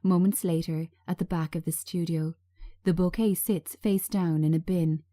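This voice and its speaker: A woman narrating a screenplay in a measured, scripted reading style. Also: fairly low pitch, steady tone, dark tone. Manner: measured, present-tense scene reading.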